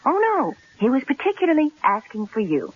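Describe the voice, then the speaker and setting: Anxious, husky-voiced secretary. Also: female voice, on the high side, big pitch swings, brisk. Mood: concerned. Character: anxious, loyal female secretary at a newspaper. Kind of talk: old-time radio drama acting. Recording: dull, muffled old radio recording.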